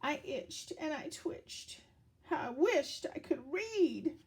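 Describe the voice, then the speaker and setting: Slow, very animated woman reading a storybook. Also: high-pitched. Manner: dramatic, deliberate storytelling with questions to young listeners. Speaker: children's story reader. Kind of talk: expressive picture-book read-aloud.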